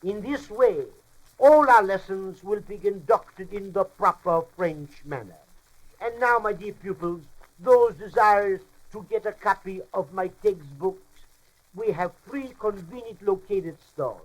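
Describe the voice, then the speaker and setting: Guy doing a high pitched pompous french teacher, comedy radio lecture, mock formal and muddled. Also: lively intonation, measured pace, poor audio. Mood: salesman-like patter. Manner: mock-formal, muddled, with malapropisms and mangled word order. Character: pompous bumbling comic French professor mangling French and English. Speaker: comic lecturer. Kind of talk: vintage comic radio monologue, mock language lesson.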